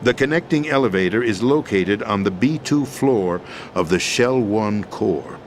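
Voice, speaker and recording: An actor playing a weary, formal deposed president and schemer in a video game, explaining things in a measured, deliberate way. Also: male voice, fairly low pitch, animated, slight background noise. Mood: grave, deliberate. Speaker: video game character in a cutscene. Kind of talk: dramatic expository video game acting.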